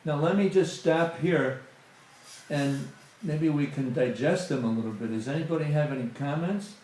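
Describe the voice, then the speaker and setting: A man's voice: fairly high pitch, normal speed, darkish tone. Man preaching in an echoey hall. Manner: conversational, many fillers like "you know". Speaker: guest speaker at a temple satsang. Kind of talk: spiritual talk to a congregation. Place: temple hall.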